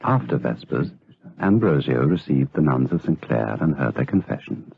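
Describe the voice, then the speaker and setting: An actor serving as the grave storyteller of a gothic radio drama, narrating in a measured, literary style. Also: male voice, a bass voice, lively intonation, gravelly, husky, dry recording, phone-quality sound, full character voice. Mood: solemn, measured.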